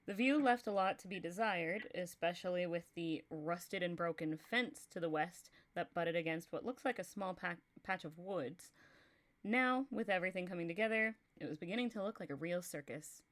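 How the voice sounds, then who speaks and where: A woman's voice: lowish voice, normal speed. A young woman from Texas with a Texan accent, reading a story aloud on a livestream and chatting casually, laughing often.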